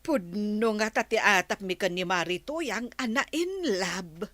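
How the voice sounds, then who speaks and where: A woman's voice: mid-range voice, expressive, unhurried, fairly bright tone, dry recording. An actress playing a curious, meddling married village woman in a radio comedy drama. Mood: prying.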